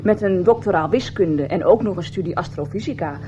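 A woman's voice: on the low side, brisk, mellow, close to an everyday voice. A woman playing a clever, self-possessed young scientist in a radio drama, dry and teasing. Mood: wry, breezy. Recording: dull, noisy old radio play.

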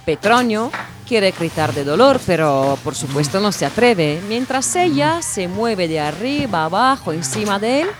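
A woman playing a wry, excitable narrator in an audio drama, telling the tale like a running commentary. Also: medium pitch, unhurried. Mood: wry narrating. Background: music.

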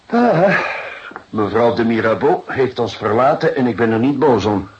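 A man playing a seasoned, thoughtful police detective in a Dutch radio drama, questioning deliberately with hesitant repetitions. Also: mid-range voice, animated, highs cut off, natural voice. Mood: pensive, businesslike.

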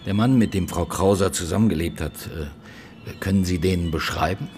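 Deep male police boss, sober and probing, naturalistic radio play with faint music. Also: darkish tone. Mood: polite, probing. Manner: measured, hesitant questioning. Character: sober, methodical male police chief detective. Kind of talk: naturalistic radio drama dialogue.

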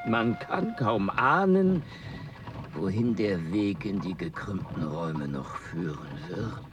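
A man acting a grumpy, arrogant aging mathematician in a radio play, gruff and scornful. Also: sits low, animated, slow, recorded from a distance, noisy background. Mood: musing.

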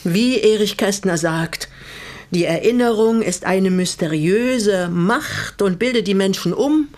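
An actress playing a guarded, quietly reproachful older woman in a radio play, speaking in a measured, pointed way. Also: medium pitch, natural voice. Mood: grave. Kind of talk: German radio drama dialogue.

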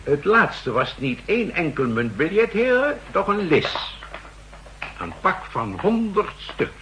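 An actor playing a self-assured official who presents evidence in deliberate, declamatory Netherlands Dutch in a 1960s radio drama. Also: male voice, high-pitched, big pitch swings, fairly bright tone, a little hiss or hum, heavily acted. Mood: emphatic, triumphant.

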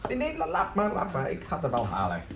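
A creaky, blustery father who likes his glass in a radio play. His pitch lurches between muttering and high swoops as he rambles and halts. The recording is dull and a little noisy. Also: wildly swooping pitch, heavily acted. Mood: impatient. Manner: rambling, halting, prone to mutter and swing up. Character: tippling, blustery father and music teacher, middle-aged or older.

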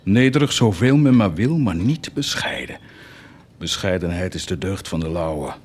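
A man's voice: deep voice, lively intonation, moderate pace, slight background noise. An actor playing a proud, fervent nobleman set on doing good, declaiming with theatrical intensity in a radio drama. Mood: defiant, scornful. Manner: declamatory, impassioned, swinging to hushed intensity.

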